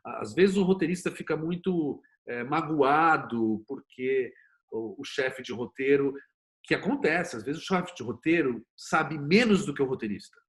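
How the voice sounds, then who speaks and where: A man's voice: fairly high pitch, expressive, a little slow, fairly bright tone. A middle-aged man with a Brazilian accent, speaking as an interview guest in a colloquial, opinionated, conversational way. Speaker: interview guest, series producer and screenwriter. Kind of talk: conversational interview talk with anecdotes.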